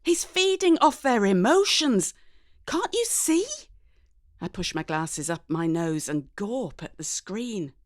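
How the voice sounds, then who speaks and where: Expressive woman narrator with swooping pitch. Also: slow pace, dry recording, clean studio sound. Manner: expressive, conversational, in character as a teenage girl. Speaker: audiobook narrator. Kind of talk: dramatic first-person scripted narration.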